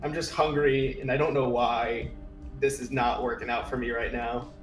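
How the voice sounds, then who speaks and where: A man playing a bewildered, queasy young man in a tabletop roleplaying game, anxious and whiny with halting protests. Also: mid-range voice, level tone, pitched below the performer's own voice, lightly acted. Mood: anxious, complaining. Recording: echoey room, music behind.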